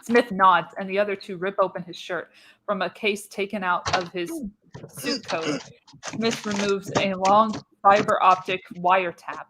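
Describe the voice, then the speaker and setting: A woman's voice: medium pitch, steady tone, a little slow, noisy background. A woman narrating a radio play script, reading stage directions with dramatic delivery. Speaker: narrator, show host.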